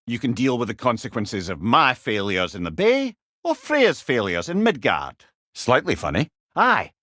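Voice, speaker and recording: Old Scottish sage, lively swooping intonation, wry, eloquent, rueful, video game companion, very dry close sound. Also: male voice, medium pitch, moderate pace, no echo. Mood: advising. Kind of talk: video game character dialogue.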